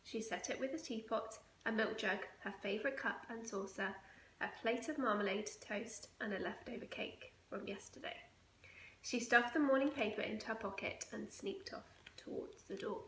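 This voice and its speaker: Fairly high-voiced woman reading a children's story. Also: normal speed, fairly bright tone. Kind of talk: children's picture book read aloud. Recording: echoey room, faint noise.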